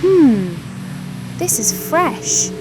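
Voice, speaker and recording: A man's voice: big pitch swings, extremely slow, full character voice. A man voicing a curious, uneasy girl in a horror story. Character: curious, uneasy girl exploring a dark arcade. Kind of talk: horror story character dialogue. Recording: noisy recording with rumbling ambience.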